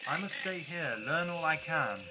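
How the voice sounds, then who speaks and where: A man playing an inquisitive, commanding time-travelling scientist in an audio drama, brisk and wordy. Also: a little high, animated, loud music behind the voice, natural voice, low-quality recording. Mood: resolute. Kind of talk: sci-fi audio drama acting.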